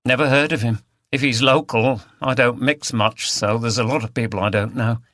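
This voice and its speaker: A man's voice: medium pitch, fairly even intonation, moderate pace, a bit bright, dry recording, studio quality, close to an everyday voice. A man voicing a blunt, defiant village animal rights woman in an audiobook, with a flippant, forthright manner. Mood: dismissive.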